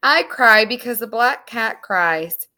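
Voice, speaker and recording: A woman voicing an eager, soft-hearted male rabbit in a children's storybook, speaking simply. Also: on the high side, expressive, slow, heavily acted. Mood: sorrowful.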